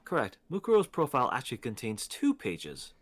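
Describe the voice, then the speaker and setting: A man voicing a haughty, condescending teenage male student in a video game, curt, measured and impatient. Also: mid-range voice, huge pitch swings, faint music behind. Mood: smug, explanatory. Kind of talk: amateur voice acting in a game playthrough.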